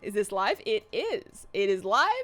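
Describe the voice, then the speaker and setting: A young woman streaming a game live, chatting excitedly and reading her chat aloud. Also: medium pitch, a little slow, soft background music.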